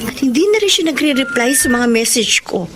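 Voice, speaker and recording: A woman's voice: sits high, lively intonation, a little fast, background noise. A woman playing an anxious, hesitant young woman with a secret in a radio drama. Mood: worried. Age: young adult. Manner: hesitant, stammering. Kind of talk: Tagalog radio drama acting.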